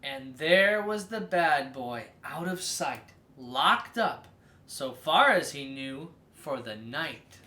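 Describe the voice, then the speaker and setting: A person reading a children's story aloud as host, in a theatrical, drawn-out way with emphatic stresses. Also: mid-range voice, expressive, pushed and bright, roomy sound.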